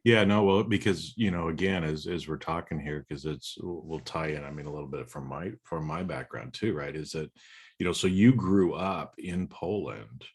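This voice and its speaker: A man's voice: extremely low pitch, moderate pace, breathy edge. A man hosting a podcast, asking questions in a rambling, repetitive way. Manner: rambling, repeats words while framing questions. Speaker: podcast host. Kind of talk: conversational interview.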